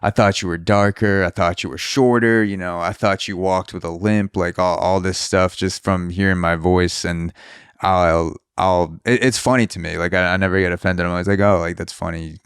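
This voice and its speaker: A young man hosts a podcast in a casual, rambling way, often circling back to rephrase what he is asking. His voice sits very low and sounds smooth and resonant. The sound is close and dry. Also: fairly even intonation, moderate pace, dry recording. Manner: rambling, restarts questions often. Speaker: podcast host. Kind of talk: casual interview.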